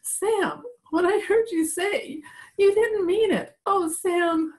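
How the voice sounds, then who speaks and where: A woman's voice: extremely high pitch, slow. A woman playing an anxious, pleading secretary in old-time radio melodrama. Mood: hurt, disbelieving. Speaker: amateur radio play actor. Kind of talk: 1940s radio melodrama acting. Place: at home, recorded over video call.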